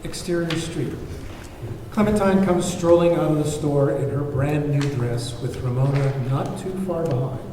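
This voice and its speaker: A man's voice: on the high side, normal speed, dark tone. A man reading out scene directions as narrator at a screenplay table read. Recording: very echoey hall, far mic, faint noise.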